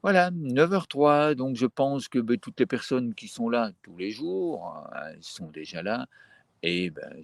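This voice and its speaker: A man leading a live-streamed guided breathing session in a gentle, unhurried way, with frequent fillers and restarts. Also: low-pitched, gravelly, husky, no echo.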